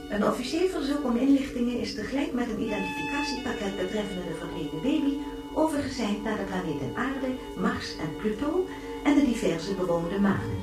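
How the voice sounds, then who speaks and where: Formal female announcer, strong echo, music behind, news bulletin, radio play. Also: on the high side. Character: formal female newsreader delivering emergency bulletins. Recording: very echoey, distant, music behind.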